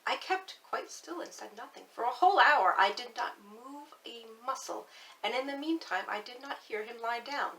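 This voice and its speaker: Casting: woman, highish bright voice, theatrical horror reading as an obsessive, nervy madman confessing, a little distant from the mic. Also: animated. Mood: tense, brooding. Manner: theatrical, swinging from hushed to intense, deliberate emphasis. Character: nervous, obsessive mad murderer narrating his crime. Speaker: storyteller reading a classic horror tale aloud. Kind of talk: dramatic spooky literary reading.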